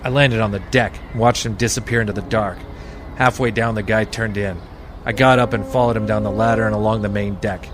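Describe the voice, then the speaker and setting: An actor playing a wry, hard-boiled private detective in 1940s radio noir style, deadpan and terse. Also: male voice, fairly low pitch, fairly quick, natural voice.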